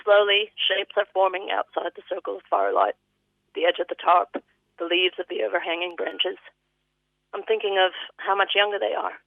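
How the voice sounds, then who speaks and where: A woman's voice: medium pitch, vocal fry, no room sound. A woman with an Australian accent reading a personal eulogy as vivid literary storytelling. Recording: thin phone-line sound.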